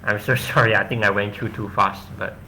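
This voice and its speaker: A man answering questions after his own tech presentation over a video call, explaining haltingly with frequent restarts. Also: fairly low pitch, steady tone, pushed and bright, slight background noise. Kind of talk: casual tech talk Q&A.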